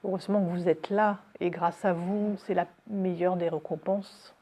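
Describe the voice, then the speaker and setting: Mellow, low-pitched middle-aged woman. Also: fairly even intonation, moderate pace. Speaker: hospital nurse. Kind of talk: documentary interview testimony.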